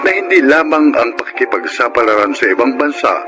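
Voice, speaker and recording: A Filipino man hosting and narrating a radio drama in a dramatic, measured announcer's delivery. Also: mid-range voice, sing-song. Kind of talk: scripted narration, announcing credits. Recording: loud dramatic music under voice.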